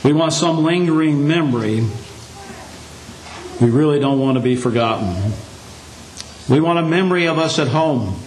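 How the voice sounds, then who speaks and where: Hoarse older preacher in an echoey church. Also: male voice, a little high, lively intonation, normal speed, pauses often, darkish tone, slight background noise. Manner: plain folksy delivery with light humor. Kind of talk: sermon. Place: church meeting room. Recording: echoey room.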